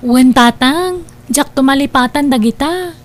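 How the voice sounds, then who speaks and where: Casting: actress as a meek, pleading young Ilocano Filipino daughter for family audio drama, clearly high-pitched. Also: female voice, a little slow.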